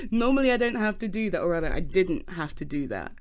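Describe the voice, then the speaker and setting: A woman acting out a nerdy, good-humoured geologist with a British accent and a slightly low voice. She talks in a chatty, halting way, as if explaining to one listener in an ASMR roleplay. She is flustered but keen and earnest about her subject. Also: big pitch swings. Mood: flustered, defensive. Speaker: audio roleplay performer. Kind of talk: ASMR roleplay, conversational one-sided dialogue.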